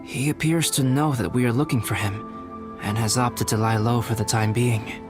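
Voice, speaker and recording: Video game role, male actor: reserved young male scholar, mid-pitched, analytical and polite, measured speech over music. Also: fairly even intonation, natural voice. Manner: formal, measured, thoughtful. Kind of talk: video game character acting.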